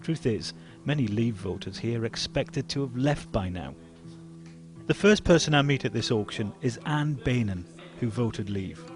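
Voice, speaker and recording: A male reporter narrating a news documentary in a measured, grave way. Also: medium pitch, expressive, music in the background.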